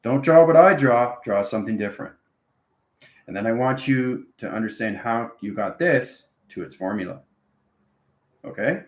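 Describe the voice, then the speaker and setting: A man's voice: medium pitch, lively intonation, normal speed. A man giving an informal live lesson to viewers as an online teacher, repeating himself and often checking in with "okay" and "right". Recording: roomy, echoing room sound.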